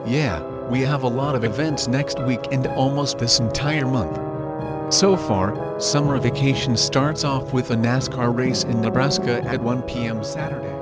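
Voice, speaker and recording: Male newscaster over loud music. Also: mid-range voice, fairly even intonation, a little slow. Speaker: news broadcaster in an animated emergency-alert story. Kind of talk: scripted news bulletin with casual asides. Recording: music behind the voice. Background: prominent music.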